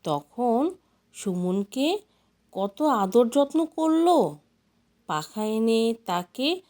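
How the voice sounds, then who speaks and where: A woman's voice: fairly high pitch, animated, very slow, a little mellow. A woman storyteller narrating a folk tale expressively, as if reading from a storybook.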